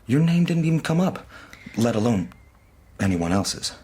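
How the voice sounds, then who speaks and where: Husky, lively young gentleman clerk. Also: medium pitch, normal speed. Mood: earnest, indignant. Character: earnest young gentleman shop clerk, protective brother. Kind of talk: period radio mystery drama acting.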